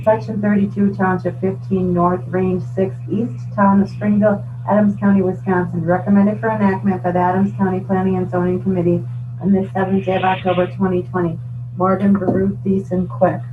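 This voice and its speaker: Flat-voiced woman clerk, distant and muffled. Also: mid-range voice, fairly quick. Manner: procedural, recites legal descriptions item by item. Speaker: county clerk. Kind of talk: formal reading aloud of ordinances. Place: government board meeting. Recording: muffled, distant mic with loud hum.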